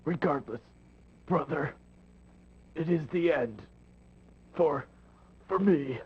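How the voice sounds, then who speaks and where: An actor playing a mortally wounded, defeated warrior in a video game, raspy, strained and gasping. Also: male voice, on the high side, animated, slow, full character voice. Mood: pained resignation. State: dying, gasping, coughing. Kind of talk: dramatic video game cutscene acting. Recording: muffled old game audio.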